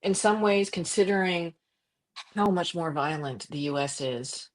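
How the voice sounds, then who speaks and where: A middle-aged woman from Croatia, a journalist guest talking passionately in an interview, with frequent restarts and quick laughs. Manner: animated, impassioned, jumps to high pitch for quoted voices. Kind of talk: conversational interview.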